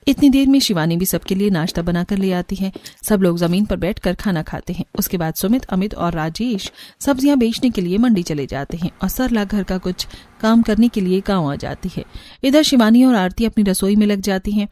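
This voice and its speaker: A woman's voice: medium pitch, moderate pace, warm tone. A woman narrating a scripted cartoon story.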